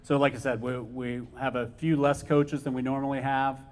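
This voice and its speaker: Man, head coach, mid pitch, loose spoken style, long hall reverb. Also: flat delivery, normal speed. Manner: informal, filler-heavy, leads the meeting. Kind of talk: addressing families at a team meeting.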